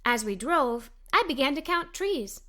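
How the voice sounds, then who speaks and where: A woman's voice: high voice, lively intonation, measured pace, fairly bright tone. A woman reading a children's story aloud, expressively, as a cheerful young girl narrator. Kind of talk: scripted read-aloud storytelling for kids.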